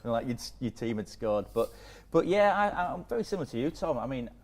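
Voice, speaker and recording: A man's voice: medium pitch, very animated, a little fast, a little mellow. A man acting as interviewer in a casual conversation, talking informally and in a roundabout way. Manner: informal, roundabout, frequent hedging phrases.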